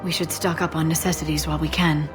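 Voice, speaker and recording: Casting: woman playing composed young alien intel broker, sci-fi game, earnest, slightly low voice, music loud behind. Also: flat delivery, quick pace, lightly acted. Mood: relieved, gentle. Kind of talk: scripted game dialogue.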